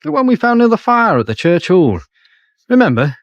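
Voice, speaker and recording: An actor playing an eager, chatty detective constable in a radio crime drama. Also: male voice, high voice, sing-song, normal speed, dry recording, lo-fi sound. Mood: chirpy. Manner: chatty, hesitant, informal. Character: eager, cheeky, flirtatious male detective constable. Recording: clear background noise and ambience.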